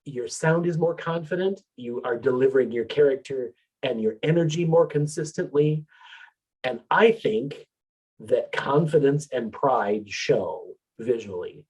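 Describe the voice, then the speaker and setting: Husky man explaining singing technique. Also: mid-range voice, measured pace, low-quality recording. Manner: explanatory, relaxed with filler words. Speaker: vocal coach presenter. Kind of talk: educational talk on singing technique.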